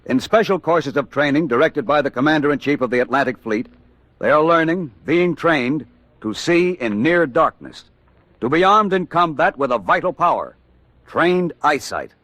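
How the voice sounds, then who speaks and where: A man's voice: on the high side, animated, moderate pace, a bit bright, no room sound. A man with an American accent delivering 1940s wartime radio narration in a dramatic, declamatory, emphatic way.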